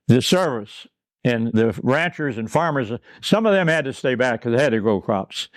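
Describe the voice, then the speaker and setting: Old guy telling stories, rambles and chuckles, middle pitch, dry close sound. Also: smooth, no room sound. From Pine Ridge, South Dakota. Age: older. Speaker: interview guest. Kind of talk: reminiscing in a recorded conversation.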